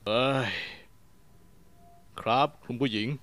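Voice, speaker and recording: A man's voice: slow, background noise, lightly acted. A man voicing a cruel, gloating reptilian demon assassin in a fan-dubbed cartoon, taunting and menacing. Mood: reluctant. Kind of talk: Thai fan-dub cartoon acting. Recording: loud music under the voice.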